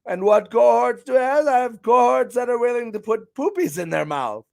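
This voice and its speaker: A man's voice: very high-pitched, expressive, normal speed, vocal fry, darkish tone. A man hosting a live stream, talking in a hammy, playful, exclamatory way. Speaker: streamer and show host. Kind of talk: casual live-stream chat.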